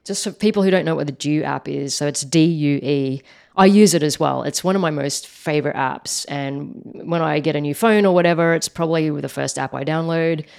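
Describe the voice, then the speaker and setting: A woman co-hosting a podcast in casual chat, her voice husky and a little hoarse from shouting, talking in a chatty, upbeat way and explaining things plainly. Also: fairly quick. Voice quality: low, croaky. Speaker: podcast co-host, startup founder.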